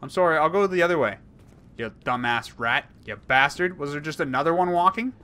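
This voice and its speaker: A man in his thirties commenting on a horror game he plays, rambling and jokey, swinging from mumbles to yells. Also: on the high side, a little fast. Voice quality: hoarse at times. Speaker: gaming YouTuber. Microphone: headset.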